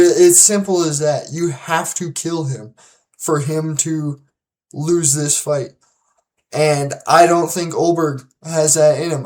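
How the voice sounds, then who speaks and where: Slow-talking, easygoing commentary host. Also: mid-range voice, a little mellow. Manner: unhurried, loose, with many restarts and repeated words; confident, emphatic when making predictions. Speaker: host of a fight-prediction channel. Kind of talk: casual solo commentary and predictions.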